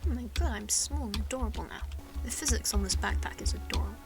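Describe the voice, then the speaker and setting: A woman's voice: a little high, fast talker, over music. A woman hosting a let's play, giving casual, dryly sarcastic game commentary.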